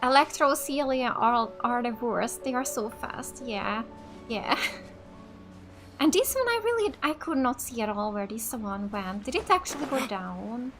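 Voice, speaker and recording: A woman's voice: a little high, animated, measured pace, over music. A woman from Finland giving chatty, enthusiastic casual let's play commentary as a gaming host. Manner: chatty, enthusiastic about the game.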